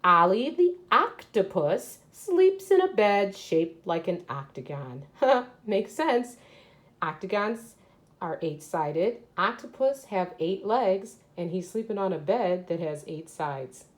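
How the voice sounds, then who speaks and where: A woman's voice: mid-range voice, very animated, takes it slowly. A woman teacher reading aloud to children and explaining as she goes, often asking questions and answering them herself. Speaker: elementary school teacher. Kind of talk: read-aloud lesson for children.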